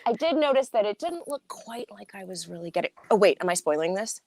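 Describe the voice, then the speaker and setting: Playful, giggly woman with animated pitch. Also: brisk. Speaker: actress on a cast panel. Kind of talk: casual teasing chat.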